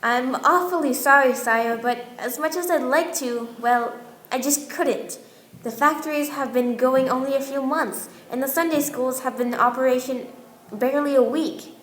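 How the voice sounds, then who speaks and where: A woman's voice: sits high, moderate pace, fairly bright tone, slight background noise. A woman playing a boastful, plain-spoken inventor who serves a king, in an amateur radio play. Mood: reluctant. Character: boastful Yankee inventor, the king's right-hand man. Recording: roomy live room, music behind.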